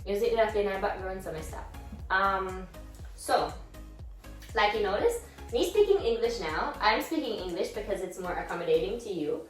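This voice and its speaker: A young Jamaican woman vlogging in casual chat, lively and laughing, with Patois exclamations. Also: mid-range voice, moderate pace, faint music behind. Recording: roomy echo from a distance.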